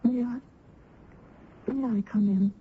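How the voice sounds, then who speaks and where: A woman's voice: a little high, steady tone, slow pace, slight background noise. An actress playing an earnest, emotional young village woman in a heartfelt, dramatic old-time radio style. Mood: hesitant, pleading. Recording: dull vintage radio recording.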